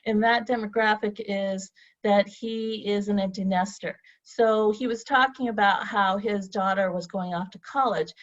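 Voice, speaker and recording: A middle-aged woman co-presents a webinar over a video call, her mid-pitched voice arriving a little dull and muffled. She speaks in a composed, measured way, laying out each point plainly. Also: steady tone. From from Vietnam, raised in Southern Illinois. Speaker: government agency lawyer, co-presenter.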